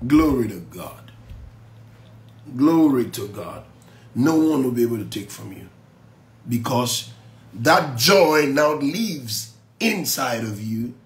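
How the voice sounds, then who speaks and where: Slow, emphatic preaching man. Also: mid-range voice, roomy sound. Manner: slow, emphatic preaching that swings from soft to loud. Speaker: pastor. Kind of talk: morning Bible teaching, reading and explaining verses. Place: small office room with blinds, indoors. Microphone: phone camera close up.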